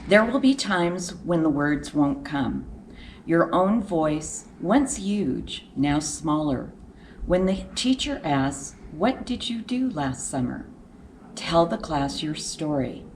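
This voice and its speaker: A woman reading a children's picture book aloud in a measured, expressive storytelling manner with deliberate pauses. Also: low voice, a little hiss or hum.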